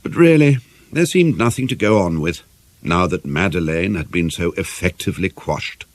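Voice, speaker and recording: Low-voiced man narrating, very expressive intonation. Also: normal speed, no room sound. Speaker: audiobook narrator. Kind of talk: scripted narration of a murder mystery novel.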